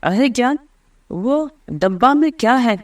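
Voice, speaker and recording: A man's voice: extremely high pitch, expressive, a little slow, voice pushed up. A man voicing a spoiled, scheming rich schoolgirl in a bossy, entitled way for a cartoon story. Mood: curious.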